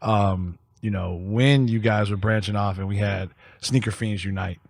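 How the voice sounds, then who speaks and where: A man's voice: lowish voice, fairly even intonation, moderate pace, vocal fry, no echo, clean studio sound. A man from Detroit with an American accent, chatting casually as a podcast host in slangy, profane talk. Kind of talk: casual chat. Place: podcast studio.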